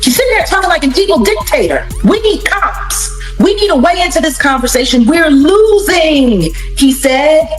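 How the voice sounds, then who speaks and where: A woman's voice: high-pitched, sing-song, normal speed, hardly any pauses. A woman giving opinionated commentary as an interview guest, emphatic, repeating herself and asking rhetorical questions. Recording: echoey room, faint music behind.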